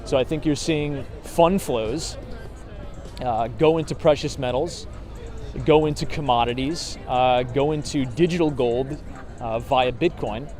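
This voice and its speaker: A man with a hoarse, fading voice chatting casually about markets and sights while walking outdoors in a city, reading out viewer comments with lots of fillers and "right?" tags. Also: medium pitch, a little slow, a little mellow, soft background music. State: hoarse, losing his voice. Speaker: vlogger talking markets on a city walk. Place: outdoors on city streets and in a park. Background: traffic sounds.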